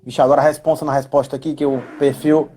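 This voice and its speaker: A man's voice: mid-range voice, level tone, fast, mellow, a little hiss or hum. A man chats casually and didactically as a guest educator, often checking in with short tag questions. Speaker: guest educator answering questions on role-playing games. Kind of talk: casual explanatory live chat.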